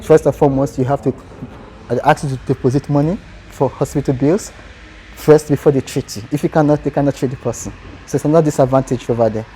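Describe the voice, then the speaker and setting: A man's voice: medium pitch, fairly even intonation, quick pace, mellow, a little hiss or hum. A man with a Nigerian accent answering interview questions earnestly, in short phrases that restart.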